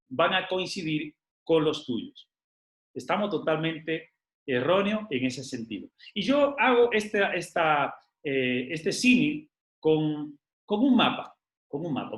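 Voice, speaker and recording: A man from Spain, giving a lively motivational leadership talk to a group, asking rhetorical questions and using many fillers. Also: fairly high pitch. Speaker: network marketing team leader. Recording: echoey room.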